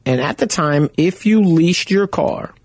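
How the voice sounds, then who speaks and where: A man hosting a car-buying radio show from home, talking in a loud, chatty, conversational way. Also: a little high, expressive, unhurried, dry recording, studio quality. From Arizona. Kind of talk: casual radio talk.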